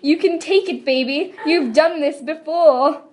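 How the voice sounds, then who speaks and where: A woman voicing a soft-spoken young man in a fan fiction reading, coaxing and soothing but firm. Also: sits high, unhurried.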